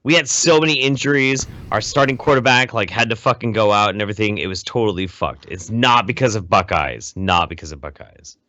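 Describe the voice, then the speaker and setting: A man's voice: fairly low pitch, brisk, few pauses, pushed and bright, no echo, highs cut off. A man co-hosting a casual live podcast in a mock-serious, profane and emphatic way. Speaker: podcast co-host.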